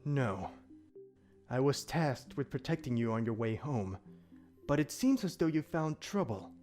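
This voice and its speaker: A man's voice: mid-range voice, lively intonation, unhurried, a little mellow, natural voice. A man playing a stern, haughty demon guardian with pompous, formal diction in an audio drama. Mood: dry, composed. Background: faint music.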